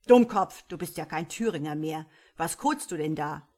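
A woman voicing a gruff, grumbling old male devil in an audiobook, scolding and mocking in a loud, low voice. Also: expressive, moderate pace. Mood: teasing. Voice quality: louder, lower grumbling voice. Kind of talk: audiobook character voice.

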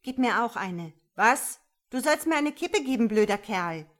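A woman voicing a nagging, foul-mouthed woman, sharp and demanding, in an audiobook. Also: high-pitched, sing-song, unhurried, voice pushed up, full character voice. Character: shrill, nagging, foul-mouthed woman squabbling with her lover.

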